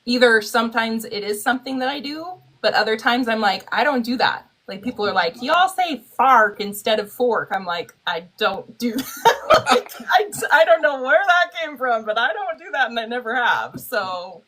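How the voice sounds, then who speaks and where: A woman's voice: on the high side, expressive, moderate pace, a bit bright. A woman from Utah with a Utah American accent, hosting a casual live stream and laughing often while she chats. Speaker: host.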